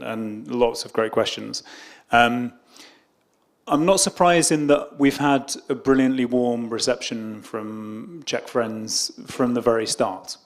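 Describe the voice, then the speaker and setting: A middle-aged British man, a diplomat, answering audience questions in a hesitant way, often restarting and repeating words. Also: mid-range voice. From Britain. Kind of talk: public Q&A answers.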